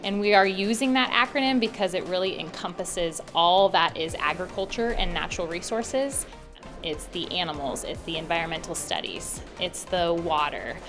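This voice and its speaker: Low-voiced American woman over background music. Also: moderate pace. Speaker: education program coordinator. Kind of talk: promotional interview. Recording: music bed under speech.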